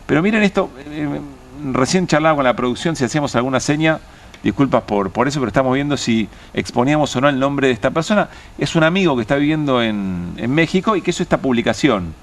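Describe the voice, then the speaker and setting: A man with an Argentine accent hosting a late-night political panel, talking haltingly with many fillers and restarts. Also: medium pitch, animated. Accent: Argentine Spanish. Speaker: host.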